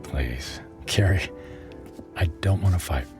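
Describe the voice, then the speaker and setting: A man's voice: low-pitched, takes it slowly. An actor playing a humbled, middle-aged ex-husband in a radio drama, apologetic and pleading, stammering as he goes. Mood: downcast, pleading. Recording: music bed and rain behind.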